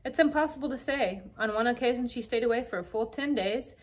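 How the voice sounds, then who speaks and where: A woman's voice: fairly high pitch, fast, slight background noise, close to an everyday voice, poor audio. A woman voicing a small, devoted homebody king in a children's story, with a courtly, formal and fussy manner. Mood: chatty, fond.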